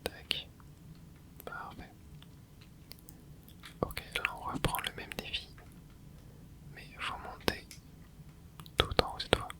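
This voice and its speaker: A hushed, breathy whisper talks through a video game at an easy pace, tossing in little fillers along the way. The voice stays soft and unvoiced, sitting right up against the microphone. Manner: soft whisper, relaxed running commentary with quick fillers. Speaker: gaming ASMR host. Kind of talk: whispered gameplay commentary. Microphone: close microphone.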